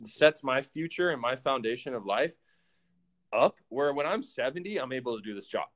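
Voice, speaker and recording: A man's voice: medium pitch, expressive, normal speed. A man in his thirties, a former pro ballplayer, in casual conversation, animating his stories by acting out his own thoughts. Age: 30s. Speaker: former pro baseball player.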